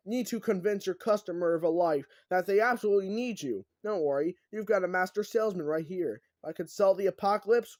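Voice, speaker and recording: A brash demon schemer voiced by a man delivers a storybook sales pitch with theatrical, taunting bombast. The voice sits fairly high and swings widely in pitch, recorded dry and close. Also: dry recording. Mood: salesman swagger. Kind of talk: animated audiobook character reading.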